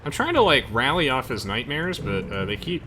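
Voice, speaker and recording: A man's voice: medium pitch, very animated, fairly quick, bright, forceful tone, a little hiss or hum. A young man hosting a let's play with casual, chatty and playful game commentary. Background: game music.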